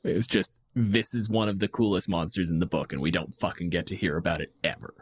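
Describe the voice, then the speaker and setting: Male podcast co-host, fairly low voice, close dry sound, blunt and emphatic casual talk. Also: steady tone, fast, slightly rough, no echo. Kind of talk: casual opinionated tabletop gaming chat.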